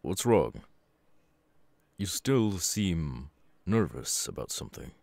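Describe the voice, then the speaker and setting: Man acting out a very deep, gravelly weary father. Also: sing-song, darkish tone, dry recording, heavily acted. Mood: measured concern. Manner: slow, deliberate, halting delivery with sighs. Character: stern, weary middle-aged superhero father, brooding but caring. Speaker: voice actor. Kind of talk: ASMR audio roleplay.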